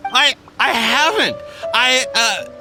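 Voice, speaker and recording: An actor playing a nervous, evasive merchant in a video game, stammering and protesting in a flustered way. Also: male voice, very high-pitched, expressive, slow, music in the background, full character voice. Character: nervous, evasive male merchant under suspicion.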